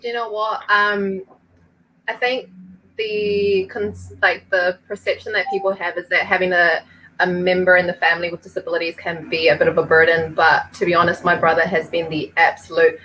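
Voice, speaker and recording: Bright-voiced Peruvian-born woman chatting. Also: on the low side, level tone, moderate pace, a little hiss or hum, low-quality recording. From Peru. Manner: chatty, many fillers, laughs easily. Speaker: podcast guest. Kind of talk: casual podcast chat.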